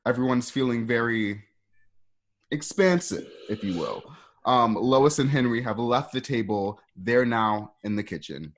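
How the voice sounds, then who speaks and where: A man's voice: medium pitch, lively intonation, a little slow. A man narrating screenplay action for a radio play in an informal, hesitant way, with conversational asides. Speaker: narrator reading stage directions.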